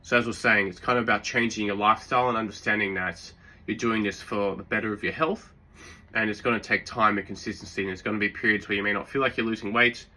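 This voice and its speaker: A man with a sore throat giving relaxed, rambling fitness advice, full of fillers and restarts. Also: fairly low pitch, fast talker, rough recording. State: sore throat, occasional coughs. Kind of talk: casual advice talk.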